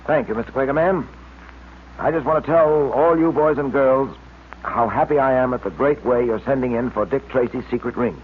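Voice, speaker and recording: An actor playing a calm, heroic American police detective in an earnest, steady 1940s radio serial style. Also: male voice, mid-range voice, moderate pace, lightly acted. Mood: earnest, enthusiastic. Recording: scratchy old-time radio recording, narrow band, hiss.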